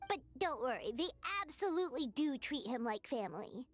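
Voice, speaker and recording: A woman's voice: sits high, big pitch swings, fairly quick. A woman voicing a tiny, chirpy, childlike fairy companion in an anime game, chatty and eager. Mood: chirpy, explaining.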